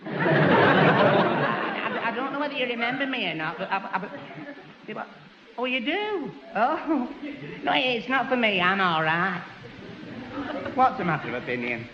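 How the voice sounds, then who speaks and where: A man's voice: high-pitched, very animated, unhurried, pauses often, exaggerated voice. A man playing an earnest, dim-witted young man who stammers and fusses in a 1960s radio sitcom. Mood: on the phone. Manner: stammering, flustered. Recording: old 1960s radio broadcast, dull, reverberant, faint hiss.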